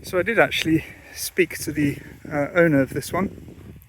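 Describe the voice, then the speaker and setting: A man's voice: on the high side, normal speed, low-quality recording. A man who is a pilot, giving casual vlog commentary outdoors at an airfield. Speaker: pilot and vlogger. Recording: clear outdoor ambient noise.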